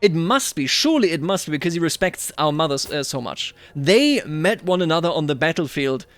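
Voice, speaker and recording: Male game commentator, slightly high voice, close dry sound, muses through decisions aloud in roleplay style. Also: sing-song, fairly bright tone, no echo, soft background music. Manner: thinks aloud, musing and deliberate. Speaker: host of a strategy game roleplay series.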